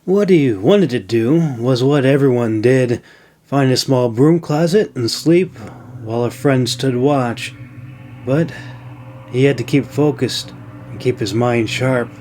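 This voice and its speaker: A man narrates a fantasy novel as its author. His voice has a middling pitch, and he delivers scripted storytelling prose. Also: takes it slowly, warm tone.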